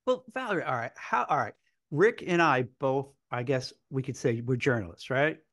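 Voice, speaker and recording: A man's voice: mid-range voice, lively intonation, moderate pace, fairly bright tone. Male co-host, casual, circling questions with restarts. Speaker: podcast host.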